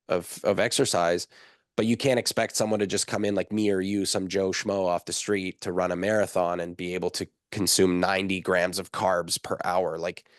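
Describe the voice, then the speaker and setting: Man, low voice, dry sound, casual explaining. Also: clear, resonant tone, no echo. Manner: conversational, explanatory, fast-talking with fillers. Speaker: guest, nutritionist. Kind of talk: casual podcast interview.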